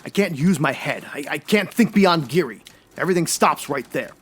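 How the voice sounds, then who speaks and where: A man's voice: on the high side, expressive, normal speed, fairly bright tone, slight background noise. A man playing a tough, hot-headed, sardonic ex-cop detective in a comedic old-time radio noir. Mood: dejected. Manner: hard-boiled, sardonic.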